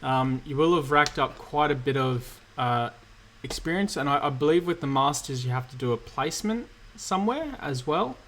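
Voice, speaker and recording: A man, slightly croaky from illness, hosting a relaxed live-stream Q&A and answering chat questions with many fillers. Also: on the high side, moderate pace, few pauses. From Australia. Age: 30s. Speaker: cybersecurity streamer host.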